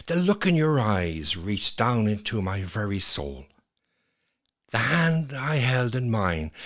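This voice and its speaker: A man's voice: lowish voice, heavily acted. An actor playing a lonely, nostalgic old Irish man in a reflective, lyrical stage monologue. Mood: tender longing. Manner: wistful, lyrical, measured with lingering pauses. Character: lonely, nostalgic old widower, quietly ruminating. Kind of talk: dramatic monologue, scripted first-person storytelling.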